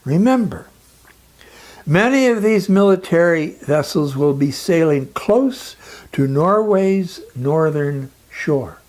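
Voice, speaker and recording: A man delivering a scripted, persuasive lecture in a solemn, emphatic manner with dramatic pauses. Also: on the high side, expressive, darkish tone.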